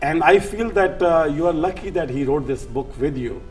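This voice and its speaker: A man hosting a TV interview, speaking earnestly and deliberately, with pauses and fillers. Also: on the high side, soft background music. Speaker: host.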